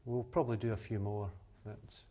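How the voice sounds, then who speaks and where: A man's voice: fairly low pitch, flat delivery, moderate pace, dark tone, a little hiss or hum. A man in his thirties explaining his distillery plainly, with a lot of "uh". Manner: plain, matter-of-fact explanations with frequent "uh". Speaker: distillery owner. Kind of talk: explaining on a tour. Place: indoors in a distillery still house and a cask warehouse.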